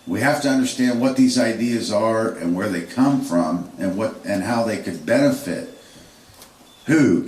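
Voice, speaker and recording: A man with a very deep voice lectures on history off the cuff, wandering through his points with fillers and restarts. He sounds distant from the mic, and the room is echoey. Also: a little mellow. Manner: rambling, frequent fillers and restarts.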